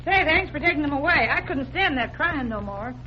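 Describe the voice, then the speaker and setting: A woman playing an irritable, brassy carousel cashier, scolding in sharp slang in 1940s radio style. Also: high-pitched, expressive, a little fast, a little hiss or hum. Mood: irritated. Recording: dull vintage radio recording.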